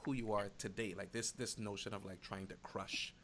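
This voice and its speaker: Low gravelly-voiced male interviewer. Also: steady tone, moderate pace, a bit bright, slight background noise. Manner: brief encouraging backchannels and follow-ups. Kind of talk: interview talk.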